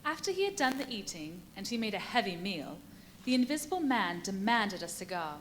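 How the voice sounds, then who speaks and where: A woman's voice: medium pitch, big pitch swings, brisk, lightly acted. A woman acting as the storyteller in a live radio play, giving scripted dramatic narration. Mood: measured storytelling. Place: live performance at a brewery venue. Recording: live stage recording with faint noise.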